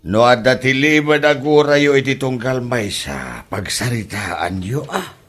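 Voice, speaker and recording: A man's voice: mid-range voice, lively intonation, a little slow, a bit bright. A man playing an exasperated middle-aged father who scolds his grown daughter in a comedy audio drama.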